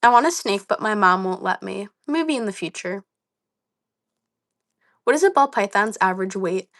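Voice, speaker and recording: A woman's voice: mid-range voice, lively intonation, normal speed, a bit bright. A young woman with a slightly dry voice, chatting casually on a livestream, reading comments aloud with lots of ums and likes. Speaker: livestream host.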